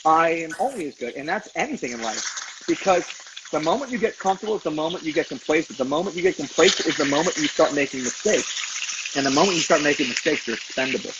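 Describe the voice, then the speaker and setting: A man's voice: a little high, lively intonation, fast talker. A man, a professional chef, chatting casually and reflectively as an interview guest while he cooks. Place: kitchen, cooking on a livestream. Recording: dull, noisy video call, sizzling pan. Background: food frying and sizzling.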